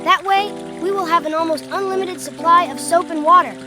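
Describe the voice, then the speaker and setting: Plucky cartoon kid leader woman actor, very high register, earnest and urgent, music playing behind. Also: fairly quick. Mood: excited. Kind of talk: fan comic dub voice acting.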